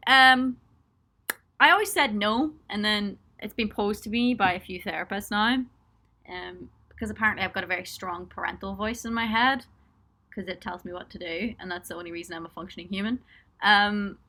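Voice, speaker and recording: A woman's voice: medium pitch, moderate pace, sharp tone. A young woman chatting casually on a webcam livestream from a small home studio, answering viewer questions with lots of ums, laughs and self-mocking jokes. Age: young adult. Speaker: musician hosting a livestream for supporters.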